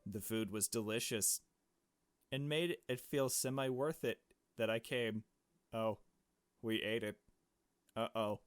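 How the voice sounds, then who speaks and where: A man's voice: fairly low pitch, takes it slowly, pauses often, dry recording. A man reading a visual novel game aloud in a casual let's play style, dropping in his own asides. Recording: music playing behind the voice. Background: game music with strings.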